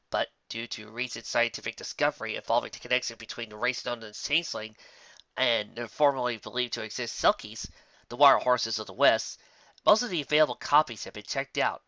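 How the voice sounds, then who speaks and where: A man's voice: medium pitch, bright, forceful tone, no echo, highs cut off. A man reading a scripted fictional story aloud as narrator. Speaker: story reader.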